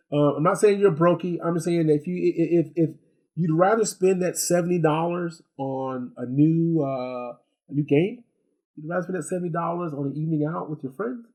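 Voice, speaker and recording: A man with a fairly high-set voice and a soft, mellow tone talks through a gadget review off the cuff. He rambles in a folksy way, circling back and repeating phrases to drive points home. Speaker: tech reviewer and host. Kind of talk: casual, unscripted product review.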